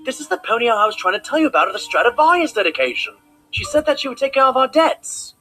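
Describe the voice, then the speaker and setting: A man voicing an earnest, excitable pony, companion to a cartoon detective, who also tells the story. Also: very high voice, fast talker, heavily acted, low-quality recording. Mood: surprised, earnest. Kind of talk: cartoon acting and narration. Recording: music behind.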